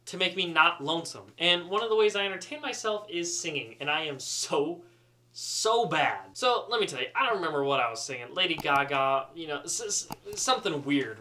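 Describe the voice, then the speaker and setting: Man, slightly high bright voice, chatty and self-deprecating, casual comic storyteller. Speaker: student pilot storyteller.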